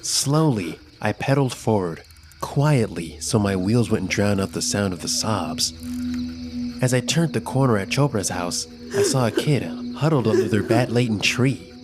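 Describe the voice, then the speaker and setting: A man's voice: fairly low pitch, very animated, moderate pace. A man playing an adventurous, kind child who narrates a scripted children's story expressively. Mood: wondering, narrating. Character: adventurous, imaginative, kind child telling a Halloween tale. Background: music.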